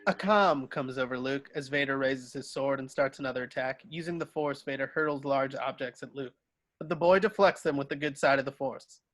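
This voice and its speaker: A man's voice: mid-range voice, normal speed, a bit bright, no room sound. A man narrating scripted stage directions for a table read.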